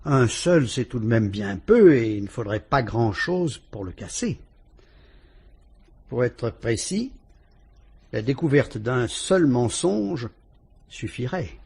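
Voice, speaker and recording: An actor with a French accent playing a calm, shrewd police inspector who politely and ironically probes a suspect in a vintage radio drama. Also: male voice, mid-range voice, vocal fry, breathy edge, heavily acted. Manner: measured, probing, politely ironic.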